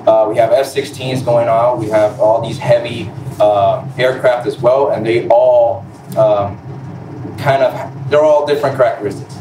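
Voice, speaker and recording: A man's voice: medium pitch, fairly even intonation, moderate pace. A man in his twenties from Puerto Rico and Florida, explaining his job as an airman on a panel, politely and off the cuff. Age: 20s. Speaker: panel guest, air traffic controller in the Air Force. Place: large indoor room, seated on a stage. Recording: roomy echo, distant mic, noisy room.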